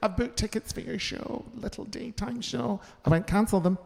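A woman's voice: medium pitch, normal speed, creaky, a little mellow. A Scottish woman comedian co-hosting a live chat show, telling anecdotes in a blunt, sweary, theatrical way. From Scotland. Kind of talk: casual comic chat with anecdotes. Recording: echoey hall, live venue.

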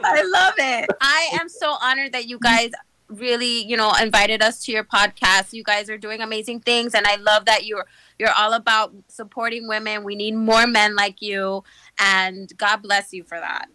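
A woman guest on a podcast, speaking over a video call in an upbeat, rambling way full of likes. Her voice sits a little high and sounds bright. The recording is dry. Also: fairly even intonation, moderate pace, clear, resonant tone, no room sound, poor audio. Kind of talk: casual remote interview chat.

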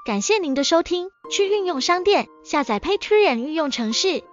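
A woman's voice: very high voice, expressive, moderate pace. A woman announcer reading a scripted promotional message. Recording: dull lo-fi audio over music. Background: music.

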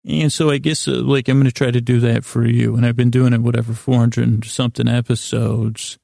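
A man hosting a bedtime podcast in a soothing, meandering, halting monologue with gently silly asides. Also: mid-range voice, level tone, vocal fry, no room sound, studio quality. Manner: soothing, lulling, mildly silly. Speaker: podcast host.

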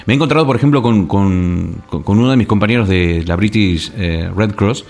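A man from Argentina, a guest on a radio talk show, telling stories in an animated way with many restarts and fillers. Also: lowish voice, fairly quick. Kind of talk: casual radio conversation.

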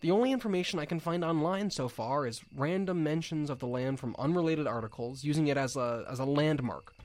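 A man's voice: on the high side, fairly quick, close to an everyday voice. A man playing a nerdy, earnest field researcher rambling into an audio log in a drama, with insects behind him. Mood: wistful, musing. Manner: rambling, hesitant, exclaiming.

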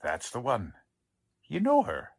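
An actor playing a plodding police sergeant who talks in folksy, ungrammatical street slang, in the style of a 1940s radio drama. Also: male voice, mid-range voice, wildly swooping pitch, measured pace, full character voice. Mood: matter-of-fact. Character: blunt, plodding middle-aged male police sergeant.

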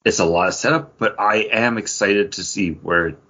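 A man's voice: on the low side, normal speed, bright, forceful tone. A man hosting a podcast in casual, chatty fan talk. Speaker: podcast host.

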